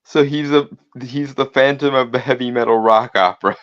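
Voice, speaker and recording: A man with a mid-pitched voice, heard through dull, low-fidelity audio. He talks casually as a player at a tabletop roleplay session, tossing in side comments. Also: steady tone, moderate pace, a bit bright.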